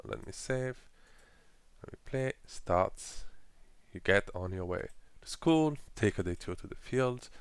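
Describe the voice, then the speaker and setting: A man presenting a casual software tutorial with phrasing and frequent fillers, explaining each step while clicking through an editor. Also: lowish voice, animated, a little slow, clean tone, no echo. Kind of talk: screen-recorded software walkthrough.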